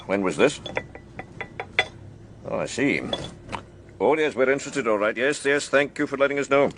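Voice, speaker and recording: An actor playing a senior male detective leading his team in a radio crime drama, brisk, questioning and authoritative. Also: medium pitch, lively intonation, noisy background, natural voice. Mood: authoritative, inquiring.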